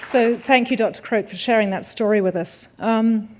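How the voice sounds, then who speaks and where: A woman's voice: fairly high pitch, moderate pace, a little mellow, some chatter behind, slight background noise. A woman presenting honorees in a formal style at a live awards event.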